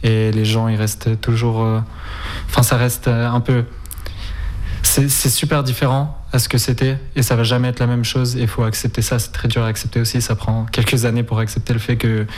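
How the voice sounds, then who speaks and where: Even-toned young man, hesitant and plain-spoken. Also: medium pitch, fairly quick. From Ukraine, of Spanish nationality. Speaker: interview guest, volunteer and documentary maker. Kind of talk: informal spoken interview answers.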